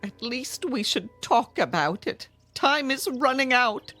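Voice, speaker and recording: A woman's voice: a little high, lively intonation, a little slow, pushed and bright, full character voice. An actress playing a worried older mother in a radio drama, anxious, chiding and pleading. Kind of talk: old-time radio drama acting. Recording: radio drama with music underneath.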